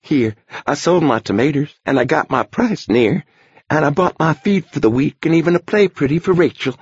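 A man's voice: a little high, animated, moderate pace, slightly rough, no room sound, dull audio, voice pushed up. A man reading an audiobook voices a toothless old countrywoman who talks in a folksy old-fashioned dialect. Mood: insistent. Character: old toothless rustic tomato woman, wheedling.

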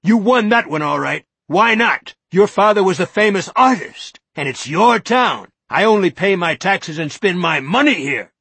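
A man reading as a high, shrill, shouting lawyer, middle-aged and losing control. The voice is husky and gravelly, recorded close and dry. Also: wildly swooping pitch, moderate pace, few pauses, dry recording, exaggerated voice. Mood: hectoring. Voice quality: loud, hectoring, near screaming.

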